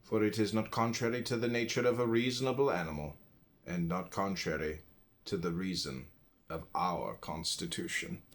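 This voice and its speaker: A man from the United States reading a philosophical text aloud in a measured, deliberate way, phrase by phrase with pauses. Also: low-pitched. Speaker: audiobook reader.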